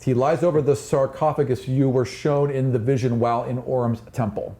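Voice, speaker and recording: A man's voice: mid-range voice, steady tone, moderate pace, warm tone. A man narrating a tabletop fantasy game as game master, moving conversationally between rules talk and scene description.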